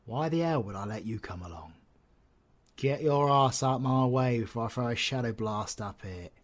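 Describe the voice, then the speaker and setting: A man's voice: mid-range voice, close to an everyday voice. A man with a British accent playing a cocky, menacing, flirtatious supervillain in an audio roleplay, taunting with slangy swearing and teasing endearments. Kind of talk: audio roleplay, villain ASMR acting.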